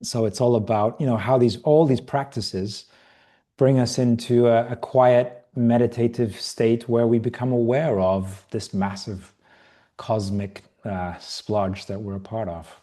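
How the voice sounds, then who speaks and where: A middle-aged man from Britain who grew up partly in Asia, talking casually as an interview guest in a reflective, halting way. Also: medium pitch, flat delivery, mellow. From Britain, raised partly in Asia. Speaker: podcast guest, yoga and qigong teacher trainer.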